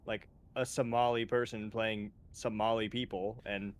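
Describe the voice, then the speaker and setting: A man's voice: fairly low pitch, little pitch movement, a little slow. A man chatting with a friend on a game stream, rambling with lots of fillers and laughing often. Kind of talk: casual gaming chat.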